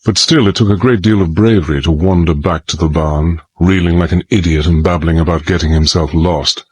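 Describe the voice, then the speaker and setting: An actor playing a resolute middle-aged gentleman adventurer, narrating his exploits in measured, formal, old-fashioned phrasing. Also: low-pitched, fairly even intonation, husky, dry recording, low-quality recording. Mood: grave, reflective. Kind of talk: dramatised first-person narration and dialogue.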